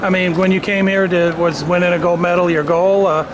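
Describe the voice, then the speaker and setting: A man's voice: high-pitched, level tone, fairly quick, over a noisy crowd. A man with an American accent interviewing an athlete casually, with chatty, informal, rambling questions. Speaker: sports interviewer. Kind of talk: casual post-match interview. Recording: noisy venue, clear background noise. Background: ambient venue noise.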